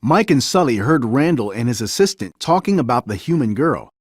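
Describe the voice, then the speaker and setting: Animated male children's storybook narrator. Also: medium pitch, fairly quick, husky, no room sound, studio quality. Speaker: storybook reader. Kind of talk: children's story read-aloud, scripted narration.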